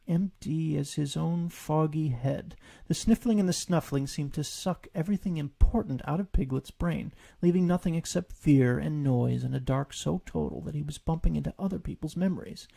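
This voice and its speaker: Mellow, gravelly man reading a story. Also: on the high side. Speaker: author reading his own story. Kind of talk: scripted audiobook narration.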